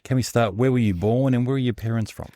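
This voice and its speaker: A man with an Australian accent co-hosting a podcast, easygoing and quick with friendly affirmations. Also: mid-range voice, steady tone, warm tone, no echo, studio quality. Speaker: podcast co-host. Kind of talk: casual podcast chat.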